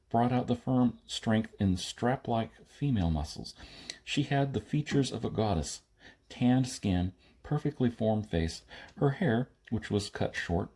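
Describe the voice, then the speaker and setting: A man's voice: lowish voice, mellow, slightly rough. A man reading a science fiction story aloud as scripted audiobook narration. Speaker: audiobook reader.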